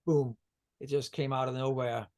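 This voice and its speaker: A man's voice: medium pitch, level tone, moderate pace, darkish tone. A man from Denmark, a guest on a video-call interview, talking about energy healing in a meandering way with many fillers and restarts. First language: Danish.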